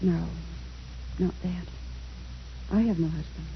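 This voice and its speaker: A muffled old radio recording with faint noise, where a remorseful middle-aged woman. Her voice is low and weary, hesitant and pleading in radio-drama style. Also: slow. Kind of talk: old-time radio acting.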